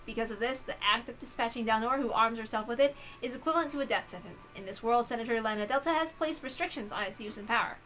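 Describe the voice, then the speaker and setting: A woman hosting an expressive read-aloud of a visual novel, with casual asides of her own. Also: fairly high pitch, low-quality recording. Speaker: reader and host.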